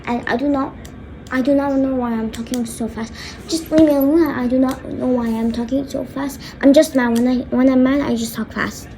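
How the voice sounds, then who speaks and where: A woman's voice: sits high, fairly even intonation, fast, hardly any pauses, warm tone, slight background noise, close to an everyday voice, poor audio. A woman voicing a stern, angry father who scolds bluntly and emphatically in a homemade skit. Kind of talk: homemade skit role-play.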